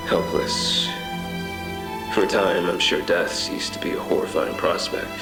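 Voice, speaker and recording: Man acting a haunted, very deep-voiced narrator. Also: a bit bright. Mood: weary, anguished. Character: haunted man recounting a surreal ordeal. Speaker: voice actor. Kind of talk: scripted horror audio drama narration. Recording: reverberant voice over music.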